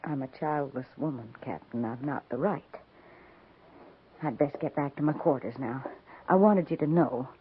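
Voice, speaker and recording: A woman's voice: extremely low pitch, normal speed, husky. An actress playing a timid, earnest frontier wife in a 1950s radio western, hesitant and self-effacing as she confides. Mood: remorseful, earnest. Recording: tinny, hissy 1950s radio recording.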